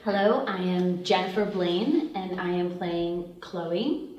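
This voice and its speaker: A woman's voice: on the low side, slow pace, a little mellow, highs cut off, slight background noise. A woman, an actress at a table read, introducing herself and reading out a character description. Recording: echoey room, distant microphone.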